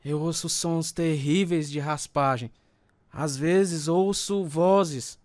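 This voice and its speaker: A man's voice: fairly high pitch, fairly even intonation, slow pace, darkish tone. An actor playing a troubled, bitter alcoholic man in a dramatized first-person radio story, speaking with a Brazilian accent and grave delivery. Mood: troubled, distressed. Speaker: narrator and protagonist of a radio testimony drama. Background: acoustic guitar music.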